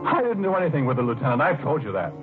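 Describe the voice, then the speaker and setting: An actor in an old radio drama playing a tormented American man in his forties, halting, polite and weary but insistent. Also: a little high, sing-song. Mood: agitated, defensive. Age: 40s. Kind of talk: 1940s radio drama acting. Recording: old muffled radio recording with organ music.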